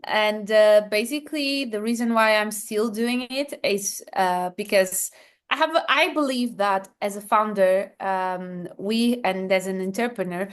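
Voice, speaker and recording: A woman from Portugal with a Portuguese accent, answering interview questions as a founder with many pauses, fillers and restarts. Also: mid-range voice, clean tone. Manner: hesitant, many fillers and restarts, laughs softly. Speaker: founder guest.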